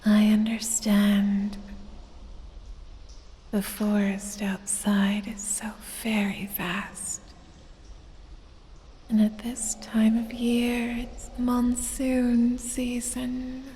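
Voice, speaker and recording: A woman voicing a sultry, predatory lamia who teases and coaxes with quiet menace in a breathy, whispery ASMR roleplay, drawing out her words seductively. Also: completely flat delivery, slight background noise. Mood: sultry, teasing. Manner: slow, drawn-out, seductive teasing with low chuckles. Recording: long cavernous reverb.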